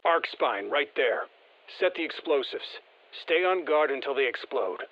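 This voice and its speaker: An easygoing special forces operator for a video game. He talks mission business over a muffled radio, in a plain-spoken, wry, colloquial way at a medium male pitch. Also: lively intonation, measured pace. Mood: wry, focused.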